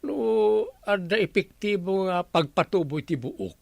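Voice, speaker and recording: A man's voice: high-pitched, animated, unhurried, creaky, no echo, full character voice. A man with an Ilocano accent playing a homely, somewhat hesitant village captain in a radio comedy drama. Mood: musing.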